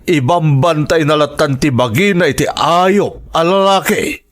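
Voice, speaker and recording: A man's voice: on the high side, unhurried, close to an everyday voice. Man acting older ilocano dad in a radio soap, protective and troubled. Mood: worried, indignant. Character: troubled older father, protective of his daughters.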